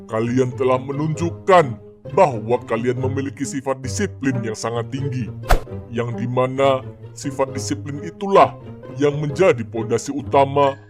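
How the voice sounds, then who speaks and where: A man acts as a stern cartoon schoolteacher. He lectures formally and condescendingly in a medium-pitched male voice, with light music underneath. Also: lightly acted. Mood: lecturing. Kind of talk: animated cartoon drama dialogue.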